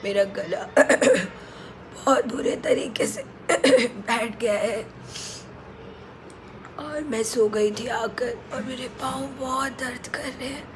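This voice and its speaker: A woman's voice: fairly high pitch, slow, long pauses, slight background noise. A young woman travel vlogger talking casually to her phone camera, slipping English phrases into her Hindi. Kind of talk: casual vlog chat to camera.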